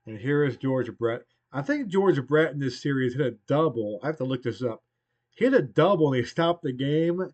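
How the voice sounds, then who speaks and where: This is a man in his forties, host of a baseball replay, whose voice is worn hoarse by a lingering cold. He rambles loosely and often trails off mid-thought. The slightly muffled home recording makes his voice sound a bit dull. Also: mid-range voice, lively intonation, moderate pace, a little mellow. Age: 40s. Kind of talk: casual play-by-play of a baseball game replay.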